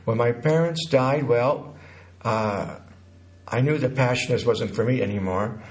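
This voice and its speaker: A man in his sixties from Connecticut recounts his life as an interview guest, haltingly, pausing mid-sentence. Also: medium pitch, a little mellow. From Connecticut, United States. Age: 60s.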